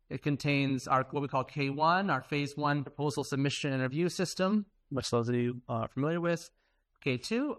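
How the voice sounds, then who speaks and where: Clear-voiced man giving an informal technical talk. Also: on the high side, a bit bright. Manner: conversational, explanatory. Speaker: conference presenter, software team member.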